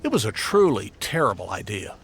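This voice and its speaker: A man playing a dry, deadpan Hollywood historian commenting in a documentary interview. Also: fairly low pitch, fairly quick, soft background music. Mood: dry recollection.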